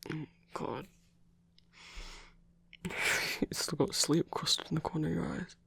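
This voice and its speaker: Man playing a gravelly, soft-spoken grumpy werewolf boyfriend. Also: a little high, steady tone, dry recording, heavily acted. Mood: tender, teasing. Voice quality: gravelly, husky, breathy. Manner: halting, sweary, hushed rambling with sighs. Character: grumpy but tender male werewolf boyfriend, gruff and sweary. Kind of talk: intimate ASMR roleplay monologue.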